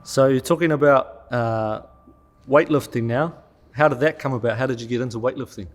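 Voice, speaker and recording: A man's voice: mid-range voice, normal speed. A man hosting a casual podcast interview, asking questions in an upbeat, encouraging way. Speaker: podcast host.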